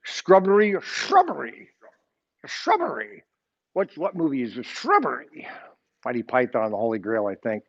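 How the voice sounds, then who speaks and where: A man's voice: medium pitch, huge pitch swings, normal speed, creaky, lo-fi sound. A man in his sixties teaching an informal Sunday school Bible lesson, rambling and often checking in with his listeners. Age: 60s. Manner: rambling, keeps checking in with "okay?".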